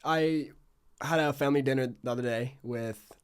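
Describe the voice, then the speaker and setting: A man's voice: mid-range voice, moderate pace, clear, resonant tone. A young man hosting a casual podcast interview, talking informally and reflectively with plenty of "like". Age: young adult. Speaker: podcast host.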